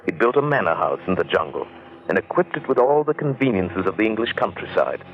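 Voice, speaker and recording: A man's voice: lowish voice, very animated, a little fast, muffled recording. An actor playing a concerned, courteous English businessman who narrates an eerie adventure in a vintage radio drama. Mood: grave, wondering. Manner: courteous, earnest, wondering. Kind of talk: 1940s-50s radio drama acting and narration. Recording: band-limited old-time radio recording.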